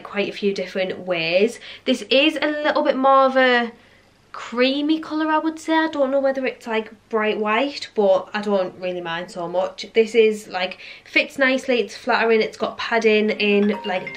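A woman's voice: fairly high pitch, normal speed. A young woman in her twenties, chatting casually in a clothing haul vlog, rambling with lots of fillers. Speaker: vlogger. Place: living room, seated on sofa.

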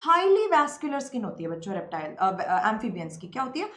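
Playful teacher with a sore throat. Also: female voice, fairly high pitch, sing-song, fast, a bit bright. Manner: playful, teasing, mixes English terms into Hindi. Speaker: online biology teacher. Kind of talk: lively live-stream lecture. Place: indoor room, green-screen beach backdrop. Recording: slightly roomy with faint ambient hiss.

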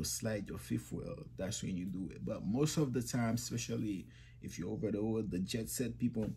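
A young man with a low, mellow voice rambles casually to his phone camera in a small indoor room. He circles back over his points and keeps talking to his viewers as guys. A faint background hum sits under his voice. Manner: rambling, repeats himself, addresses viewers as guys. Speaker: trucking vlogger.

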